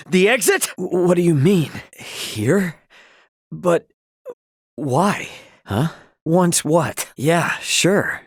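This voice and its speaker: Brash, animated young game hero. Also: male voice, a little high, very slow, long pauses, breathy edge, no echo, studio quality, heavily acted. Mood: startled. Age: young adult. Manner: breezy, slangy, informal, emphatic. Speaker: video game voice actor. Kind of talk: English game dub dialogue, casual and expressive.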